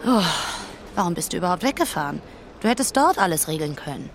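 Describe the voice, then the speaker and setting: A woman's voice: on the low side, big pitch swings, quick pace, a little hiss or hum. An actress playing a pragmatic, unsentimental businesswoman in an audio drama, blunt and matter-of-fact. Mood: exasperated. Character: pragmatic, unsentimental, debt-ridden businesswoman scheming. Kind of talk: audio drama dialogue acting.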